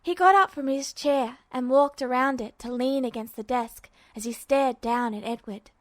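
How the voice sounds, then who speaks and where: A woman reads a story aloud in a bright, high voice, with steady and attentive narration. The recording is dry and close, with no echo. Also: moderate pace. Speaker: podfic reader. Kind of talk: reading fan fiction aloud.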